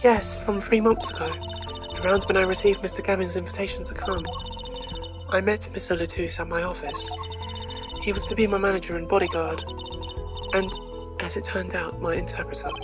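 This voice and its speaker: A woman voicing a serene, mysterious foreign singer in an amateur video game voice-over, speaking in a measured, formal and wistful way. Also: medium pitch, steady tone, close to an everyday voice. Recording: game music behind the voice.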